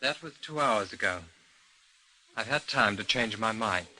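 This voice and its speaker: An actor playing a cool, serious man in an old-time radio drama, speaking in a terse, deliberate way. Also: on the low side, animated, background noise. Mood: cool, curt. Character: grave, cool man bringing bad news, woman's lover.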